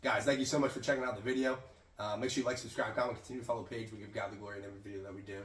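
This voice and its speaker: A man hosting a casual sports chat, raspy and hoarse from a cold, chatty and prone to restarts. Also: fairly low pitch, fairly even intonation, racing, a bit bright, reverberant, recorded from a distance. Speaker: host.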